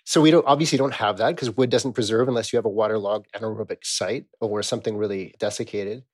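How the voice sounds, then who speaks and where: A man with a husky, middle-pitched voice talks as an expert guest in an interview. He explains scholarly material conversationally and animatedly, often checking in with rhetorical questions. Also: darkish tone.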